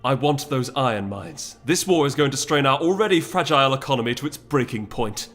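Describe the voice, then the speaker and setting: A man's voice: mid-range voice, lively intonation, a little fast, a bit bright, soft background music. A man voicing a proud, resolute noble mare who speaks formally and imperiously in a dramatic fantasy audiobook. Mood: commanding.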